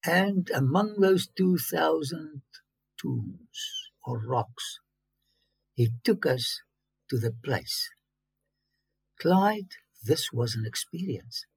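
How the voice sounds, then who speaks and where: Gravelly man telling a story. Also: a little high, expressive, dry recording. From South Africa. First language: Afrikaans. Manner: earnest, halting storytelling with sudden emphatic bursts. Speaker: interview guest. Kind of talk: recounting a personal story.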